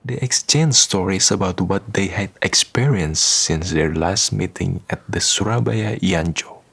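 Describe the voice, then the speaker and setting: A man's voice: low-pitched, a little slow, gravelly, fairly bright tone, breathy edge. A man reading scripted narration for a radio drama. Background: music.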